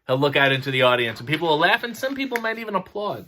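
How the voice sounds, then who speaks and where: A man with a bright, forward voice, pitched a little high, plays storybook host. He breaks off to explain each bit to the kids in a deadpan, over-careful way. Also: fast, slight background noise. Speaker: host reading a children's book aloud. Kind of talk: mock bedtime story reading with dry commentary.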